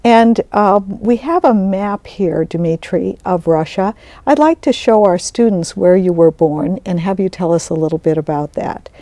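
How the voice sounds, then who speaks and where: A woman's voice: medium pitch, expressive, a little slow, mellow, dry recording. A woman hosting an interview, asking questions conversationally as a college instructor would. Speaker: interview host.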